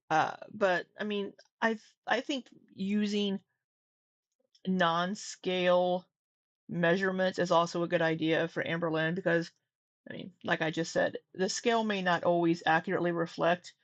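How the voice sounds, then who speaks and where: A woman with a somewhat low voice comments on clips in a loose, conversational way, often pausing on fillers and restarting her sentences. The recording is dry and close, with a slightly dull, lo-fi tone. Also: no room sound. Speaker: commentary host.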